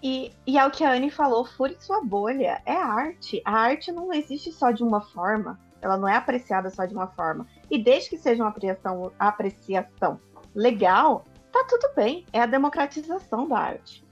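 A Brazilian woman co-hosting a podcast, chatting in an expressive, effusive way. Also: on the high side, moderate pace, husky, soft background music. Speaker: podcast co-host. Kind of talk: casual podcast chat. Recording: dull lo-fi microphone sound.

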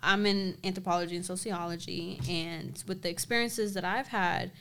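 A woman's voice: lowish voice, steady tone, normal speed, gravelly, fairly bright tone. A young woman, a co-host, chatting casually on a podcast, rambling and laughing often. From Dominican American, grew up in the US.

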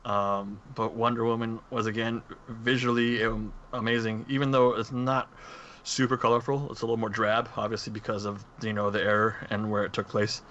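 A man's voice: fairly low pitch, flat delivery, normal speed, few pauses, a bit bright. A man co-hosting a podcast in casual discussion, heard through dull, lo-fi audio with faint noise. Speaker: podcast co-host. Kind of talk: casual movie-discussion chat.

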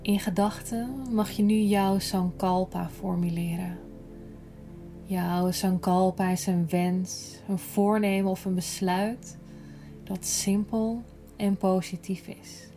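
A woman guiding a yoga nidra relaxation in a gentle, soothing, unhurried manner, breathy at times. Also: mid-range voice, flat delivery, faint music behind. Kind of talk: slow guided relaxation meditation.

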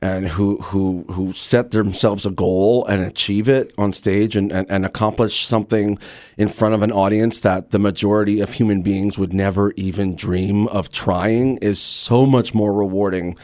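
A man's voice: fairly low pitch, steady tone, normal speed, a little mellow, no room sound, studio quality. A middle-aged man speaking effusively as an interview guest, often repeating words for emphasis. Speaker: guest, actor and theater teacher. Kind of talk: interview conversation.

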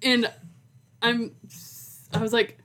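A young woman in her twenties with a fairly high voice co-hosts a podcast. She speaks in an informal, conversational way, peppering her sentences with "like". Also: flat delivery, takes it slowly, sharp tone. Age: 20s. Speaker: podcast co-host. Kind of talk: casual chat.

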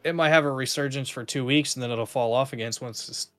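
A man's voice: mid-range voice, fast talker, a bit bright, faint music behind. A man co-hosting a podcast, explaining things in casual, informal gaming chat. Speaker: podcast co-host.

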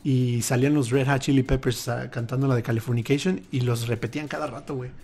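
A man's voice: medium pitch, fairly even intonation, fast talker, a little mellow. Laid-back mexican man chatting. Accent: Mexican Spanish. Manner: laid-back, swears freely, jokes and laughs. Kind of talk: casual chat.

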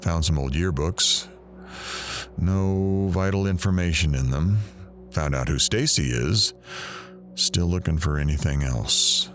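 A very deep, low-pitched male actor as a sardonic investigator in an audio drama. He talks in a wry, unhurried, matter-of-fact way, with faint music underneath. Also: a bit bright, exaggerated voice. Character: dry, sardonic male investigator searching rooms.

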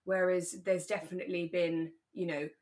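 A woman's voice: on the low side, flat delivery, moderate pace, a little mellow. A woman co-hosting a podcast, chatting casually in an effusive, teasing way. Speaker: podcast co-host. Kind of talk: casual chat.

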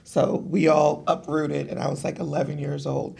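Raspy, gravelly-voiced woman guest. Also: sits low, normal speed. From Cameroon. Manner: animated, laughs while telling her story. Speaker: podcast guest. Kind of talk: casual interview storytelling.

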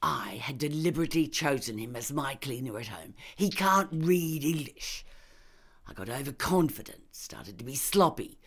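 A woman's voice: rumbling low, lively intonation, normal speed, husky, full character voice. A woman voicing a scornful, ranting middle-class female murderer in an audiobook, sneering and emphatic. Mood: cold confession. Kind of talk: audiobook character dialogue.

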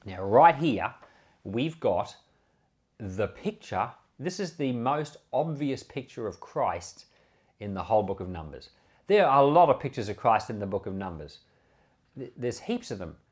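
An Australian man preaches informally, like a pastor talking a small point through in conversation. His pitch moves up and down in a very lively way around a medium register. He explains step by step and often puts questions to the listener. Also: a little slow. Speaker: pastor and Bible podcast host. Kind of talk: informal sermon, explaining scripture.

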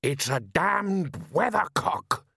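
Man voicing a gruff, blustering fisherman dad. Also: mid-range voice, measured pace, no echo, studio quality. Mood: urgent, snapping. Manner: blustering rustic speech, snapping and shouting. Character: gruff, hot-tempered fisherman father, middle-aged, cursing his rival.

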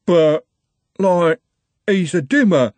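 A man voicing a big, very thick young thug, halting and bewildered. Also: high voice, darkish tone, dry recording, pitched above the performer's own voice. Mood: defensive, bewildered. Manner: halting, dim.

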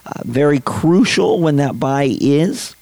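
A young man in his twenties hosting a casual sports podcast, his voice a little raspy from late nights, rambling with many fillers and restarts. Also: on the high side, lively intonation, slow pace, a little mellow, no echo. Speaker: podcast host.

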